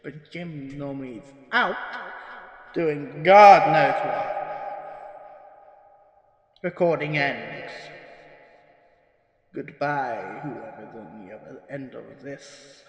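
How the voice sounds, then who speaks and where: Man as a slow-talking, jaded archivist, echoey. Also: mid-range voice. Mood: awkward, flustered. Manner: dismissive, grumbling, weary. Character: jaded, sceptical paranormal archivist dictating case notes. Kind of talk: parody horror audio drama narration. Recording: echoey room with long reverb.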